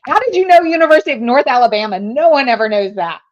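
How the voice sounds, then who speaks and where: A woman's voice: high voice, animated, fast, dull audio. A woman in her fifties, a native German speaker, chatting candidly as a sales trainer guest and laughing often. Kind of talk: casual interview chat.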